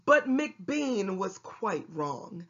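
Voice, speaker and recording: A woman reading a rhyming children's storybook aloud in an expressive narrating style. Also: medium pitch, drawn-out words, lo-fi sound. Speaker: storybook reader.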